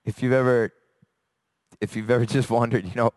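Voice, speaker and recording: A man from America preaches to his congregation with a voice gone raspy and hoarse. He speaks earnestly, searching for words with pauses and fillers. His middle-pitched voice sounds close and dry on a handheld mic. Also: fairly even intonation, darkish tone, dry recording. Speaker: church elder preaching. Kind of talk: sermon. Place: church service. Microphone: handheld microphone.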